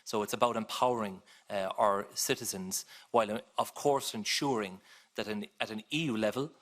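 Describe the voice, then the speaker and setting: A man with a fairly low voice gives formal answers at a parliamentary hearing. He speaks in a measured, deliberate way and fills his pauses with frequent hesitations. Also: a bit bright. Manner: measured, with many filler pauses. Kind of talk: formal spoken answers to questions.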